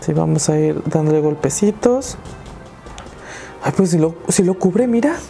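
A woman's voice: low voice, expressive, measured pace, creaky, mellow, faint music behind. A young woman with a Mexican Spanish accent hosting a craft tutorial, chatting and encouraging viewers as she works. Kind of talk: casual DIY tutorial talk.

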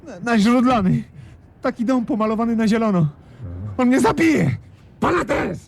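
A man's voice: very high voice, animated, moderate pace, creaky, a little hiss or hum, full character voice. A man playing a frightened, defiant young drug dealer in a radio play, whiny, panicky and mouthy.

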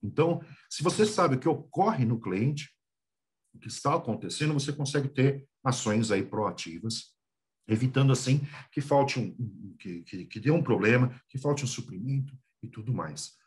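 A man's voice: mid-range voice, moderate pace. A man with a Brazilian Portuguese accent, giving a business talk over a video call and often repeating small words as he thinks. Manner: lively, repeats small words, clears throat. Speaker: guest speaker.

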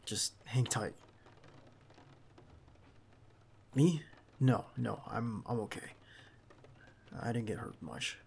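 A man plays a male bodyguard in an ASMR roleplay, earnest and loyal to his boss. His voice is husky and breathy at a middle pitch. He speaks haltingly, tense but protective and reassuring. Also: darkish tone.

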